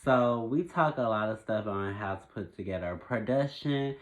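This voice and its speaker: A man's voice: on the low side, normal speed. Stuffy-nosed man rambling casually. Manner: rambling, many restarts, self-correcting; laughs at his own jokes. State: stuffy with a head cold. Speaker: filmmaker vlogger. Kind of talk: casual talking-to-camera vlog.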